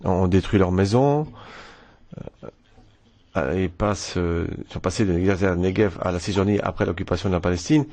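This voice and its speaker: Measured low-voiced man giving a statement. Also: darkish tone. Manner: measured, with occasional hesitations. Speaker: member of parliament, delegation speaker. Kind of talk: formal prepared statement. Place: press room.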